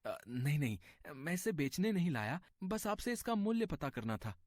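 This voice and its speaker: A man's voice: on the high side, sing-song, moderate pace, dry recording, studio quality. A man playing a troubled, humble villager in a moral story, earnest, polite and hesitant. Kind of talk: dramatized Hindi moral story. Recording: faint music behind the voice.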